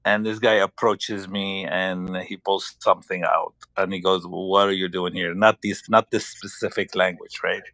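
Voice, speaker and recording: A man with a Lebanese accent telling a story in casual talk, halting and searching for words. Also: low voice, fairly even intonation, bright, forceful tone, no echo. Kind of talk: casual anecdote on a panel.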